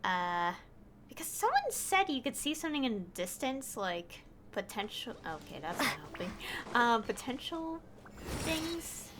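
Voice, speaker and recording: A woman streams a game and chats freely, easily delighted and laughing often. Her voice sits a little high and swings widely in pitch. A faint hiss runs underneath. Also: very animated, a little slow, a bit bright. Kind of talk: casual gameplay commentary.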